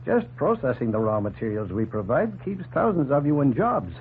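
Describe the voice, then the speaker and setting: An actor playing a hospitable, plain-spoken farmer in a 1940s radio drama, earnest and explanatory. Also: male voice, mid-range voice, big pitch swings, fairly quick, slight background noise. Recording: tinny, humming 1940s radio recording.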